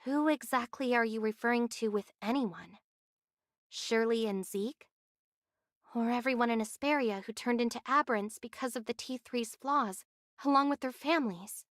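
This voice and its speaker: An earnest young heroine from an anime adventure game, acted by a woman. Her voice is notably high and she speaks directly and inquisitively. The sound is dry and studio-close. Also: normal speed, no echo, close to an everyday voice. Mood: pointed. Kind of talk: scripted anime game voice acting.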